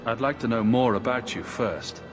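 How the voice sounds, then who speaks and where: An actor playing a measured, direct young refugee mage, the hero of a fantasy video game. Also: male voice, medium pitch, close to an everyday voice. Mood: guarded, direct. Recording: loud music behind. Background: game music.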